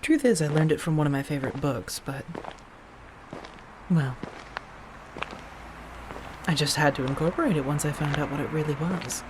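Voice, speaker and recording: A low-voiced, affectionate female vampire, acted by a woman, chats softly to her roommate in an ASMR audio roleplay. She is gentle and teasing, slipping into hushed asides. Faint background noise sits behind the voice. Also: normal speed, pauses often, close to an everyday voice. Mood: teasing, enthusiastic. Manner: gentle, chatty, teasing, with soft murmurs and breathy asides. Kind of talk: soft-spoken ASMR roleplay.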